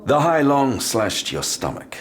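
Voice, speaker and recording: An actor playing a tough, wry demon-hunting detective in an audio drama, with a dry, gruff way of talking. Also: male voice, medium pitch, big pitch swings, a little slow, slight background noise. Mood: wry, relieved. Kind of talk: full-cast audio drama acting. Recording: music behind the voice.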